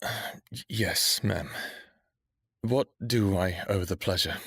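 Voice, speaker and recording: Low-voiced, bitter young captive played by a man. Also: takes it slowly, vocal fry, a bit bright, breathy edge, no room sound, studio quality, heavily acted. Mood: guarded, wry. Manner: sardonic, profane, brooding. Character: defiant, bitter young human captive among vampires, male. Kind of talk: first-person audio drama narration and dialogue.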